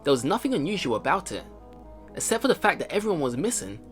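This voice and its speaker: A man's voice: fairly high pitch, big pitch swings, fast. A man hosting a let's play, reading game narration aloud and reacting casually. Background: game music.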